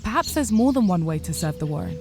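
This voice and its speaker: A woman's voice: lowish voice, big pitch swings, fast talker, vocal fry, music in the background. A woman playing an earnest, conflicted young rabbit healer's apprentice in a fantasy video game. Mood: weary, doubtful. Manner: earnest, troubled, guarded. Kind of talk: dramatic video game dialogue.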